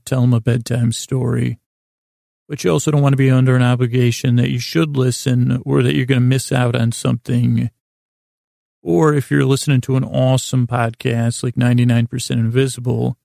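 A man hosting a bedtime podcast in a gravelly voice, rambling and meandering with halting repeats as he tries to lull listeners. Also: medium pitch, warm tone, no echo, clean studio sound. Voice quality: gravelly, creaky. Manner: meandering, halting, repeating himself, soothing intent. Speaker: podcast host.

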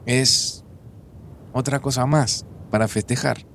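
A man's voice: mid-range voice, fairly even intonation, slow pace, creaky, noisy background. An actor with a Buenos Aires accent playing an earnest, insistent, guilt-burdened man in an audio drama. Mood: hopeful, tender. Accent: Argentine, Buenos Aires. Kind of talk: audio fiction acting, naturalistic dialogue.

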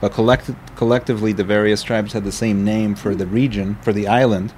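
A middle-aged man with a smooth, low voice that sounds made for radio. He talks at an even pace, telling stories and stopping for small fillers. A faint hiss sits under his voice. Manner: measured storytelling with fillers. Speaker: guest winery owner. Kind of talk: podcast interview. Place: vineyard tasting area.

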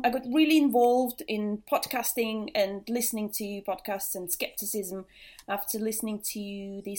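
Latvian-born woman in her thirties. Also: medium pitch. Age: 30s. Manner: chatty, lots of ums. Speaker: podcast co-host. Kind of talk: casual podcast chat.

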